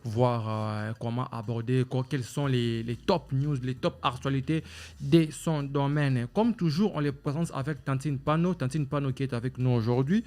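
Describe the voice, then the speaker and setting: A man's voice: medium pitch, normal speed, a little mellow. A man with a Congolese French accent hosting a talk show, formal and repetitive, with many fillers and restarts. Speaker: show host.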